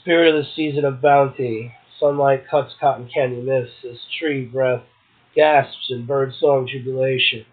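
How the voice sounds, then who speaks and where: Through a thin, phone-like line comes a man hosting a poetry show. He reads literary passages aloud and slips into casual commentary. His pitch sits in the middle of the male range. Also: steady tone, moderate pace. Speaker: poetry podcast host, poet. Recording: muffled, tinny phone-like audio.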